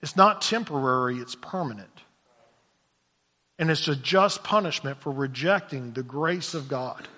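High-voiced preacher in a reverberant hall. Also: male voice, measured pace. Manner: swings from low hushed lines to loud shouted emphasis; repeats words when stumbling. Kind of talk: sermon.

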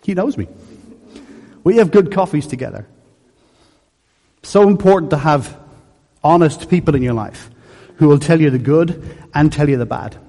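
A man in his fifties from Dublin, with a softened Dublin Irish accent, preaching a sermon in a joking, conversational, storytelling way. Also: on the high side, moderate pace, pauses often. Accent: Dublin Irish, softened by years in England. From Ireland. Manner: conversational, jokey, animated storytelling. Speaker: pastor. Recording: echoey hall.

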